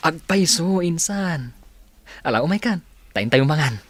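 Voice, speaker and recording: Man playing an animated young Ilocano grandson. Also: fairly high pitch, slow pace, heavily acted. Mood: warm, amused. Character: cheerful young grandson home visiting his family. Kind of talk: Ilocano comedy-drama radio acting.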